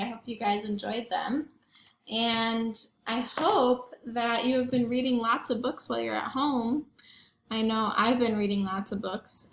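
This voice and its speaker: A woman's voice: on the high side, a bit bright, reverberant, a little hiss or hum. A woman reading picture books aloud to young children, expressively and with questions for her listeners. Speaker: children's read-aloud host, teacher or librarian.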